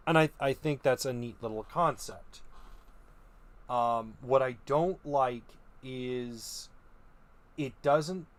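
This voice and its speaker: A young man giving casual opinion commentary as a video host. He muses hesitantly, with frequent ums and restarts. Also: mid-range voice. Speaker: video host reviewing creature designs.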